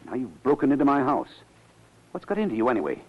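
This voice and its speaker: A blustering, uneasy man in an old radio thriller, indignant and fearful. His husky voice rises and falls sharply as he stammers. The sound is dull, like an old broadcast. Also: mid-range voice, sing-song, quick pace. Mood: indignant, suspicious. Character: indignant, frightened man, deceitful intended murder victim. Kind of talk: 1940s radio drama acting.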